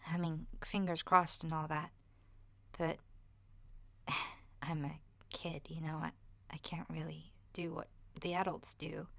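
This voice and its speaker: Woman voicing a slow, soft-spoken, shy young boy. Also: low voice, exaggerated voice. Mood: wistful, earnest. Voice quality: soft, breathy, often dropping to a whisper. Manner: hesitant, shy, rambling with sighs and self-corrections. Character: awkward, rambling ten-year-old boy, a zombie apocalypse survivor. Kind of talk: ASMR roleplay, soft-spoken recorded diary monologue.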